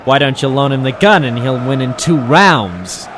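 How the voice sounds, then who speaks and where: A sardonic, hard-boiled man of the docks, played by a male radio actor, with a medium-pitched voice and a deadpan delivery. He tosses out wisecracks in classic late 1940s noir style. The sound is that of an old radio drama. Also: moderate pace, a crowd in the background, background noise, natural voice. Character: cynical wisecracking male private troubleshooter of the docks.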